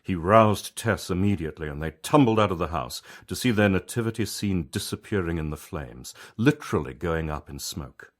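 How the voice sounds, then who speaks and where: A man narrating a written story as its author, in a measured, reflective and conversational storyteller's manner. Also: sits low, animated. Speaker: author reading own story.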